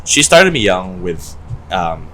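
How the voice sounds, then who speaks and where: A man's voice: low voice, slow pace, a little hiss or hum. A young man from the Philippines co-hosting a podcast, talking in a chatty casual way with lots of restarts. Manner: chatty, animated, restarts often. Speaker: podcast co-host. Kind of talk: casual chat.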